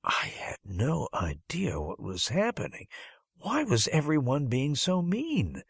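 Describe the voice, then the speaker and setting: A man's voice: fairly high pitch, huge pitch swings, slow, creaky, slightly rough, no room sound, studio quality. A man reading a first-person story as an audiobook narrator, with dramatic phrasing and strong emphasis.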